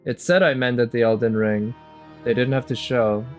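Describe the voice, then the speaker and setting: A man giving casual, unhurried live commentary as a game streamer, musing aloud as he goes. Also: medium pitch. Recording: game music behind the voice. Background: game music.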